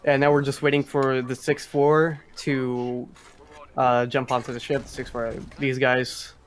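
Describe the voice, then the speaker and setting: A man's voice: medium pitch, level tone, measured pace. Man doing casual live game commentary. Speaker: speedrun commentator.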